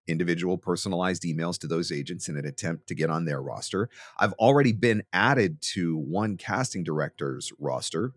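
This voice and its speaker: Low-voiced man, dry close sound. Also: dry recording. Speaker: host, voice actor teaching marketing. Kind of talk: instructional monologue to camera.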